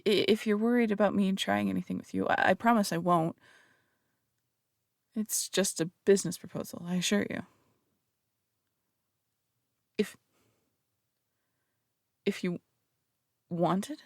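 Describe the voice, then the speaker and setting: A woman's voice: medium pitch, steady tone, normal speed, vocal fry, pauses often, no echo, studio quality, natural voice. A woman playing a flirtatious, theatrical female phantom thief in an intimate audio roleplay, coaxing and teasing, with slight stammers. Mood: flustered. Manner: coaxing, teasing, hesitant stammers between polished phrases. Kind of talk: intimate audio roleplay, acted monologue to listener.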